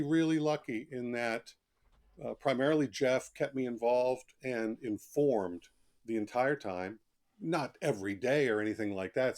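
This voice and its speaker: A man's voice: medium pitch, a little mellow. A man speaking as a guest author in a conversational interview, talkative and reflective, explaining things in plain asides.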